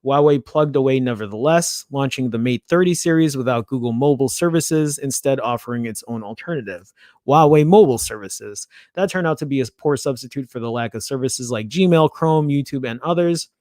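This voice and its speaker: A man's voice: medium pitch, brisk, darkish tone, no echo, clean studio sound. A man with a Chicago accent hosting a casual tech news show, reading an article aloud and breaking off into chatty asides and little stumbles.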